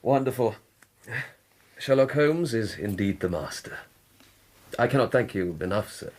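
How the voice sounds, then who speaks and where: A man's voice: on the low side, animated, measured pace, a little mellow. A man acting in a radio drama as an earnest, deferential Victorian police inspector, hesitant, polite and formal. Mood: grateful, sheepish.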